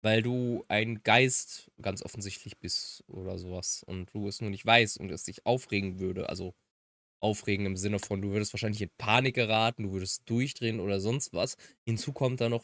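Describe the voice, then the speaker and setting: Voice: medium pitch, a bit bright, no room sound. A German native speaker giving casual gaming commentary, slipping between German and English. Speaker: let's player. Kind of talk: gaming commentary mixed with voiced read-aloud of dialogue.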